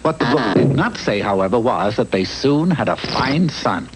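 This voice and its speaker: A man's voice: medium pitch, expressive, moderate pace, natural voice. A man narrating a mock fairy-tale cartoon in a wry, theatrical storyteller's voice, on a hissy old soundtrack.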